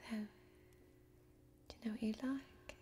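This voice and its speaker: A young woman playing a friendly, homely fantasy tavern barmaid in soft-spoken, whispery ASMR role-play, close to the microphone. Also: measured pace, lightly acted. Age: young adult.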